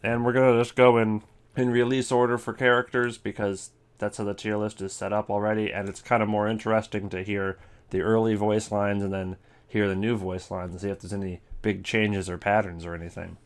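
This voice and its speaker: A man's voice: fairly low pitch, flat delivery, fairly quick, clear, resonant tone. Offhand man doing casual gaming commentary. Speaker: video host.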